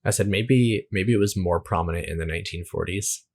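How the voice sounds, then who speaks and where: Low, husky man chatting casually. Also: a little fast, darkish tone. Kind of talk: casual conversation.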